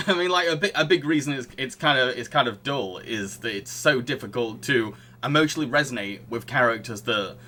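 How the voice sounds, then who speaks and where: A man's voice: medium pitch, expressive, brisk, hardly any pauses, pushed and bright, a little hiss or hum. A man hosting a podcast, talking casually about films in a chatty, hesitant way, circling round his explanations. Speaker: podcast host. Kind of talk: casual film discussion.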